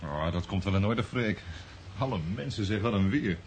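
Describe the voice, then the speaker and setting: A man acting in a radio drama as a genial, obliging bookseller, with a friendly, chatty, expressive way of talking. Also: lowish voice, normal speed, slight background noise. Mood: welcoming, flustered.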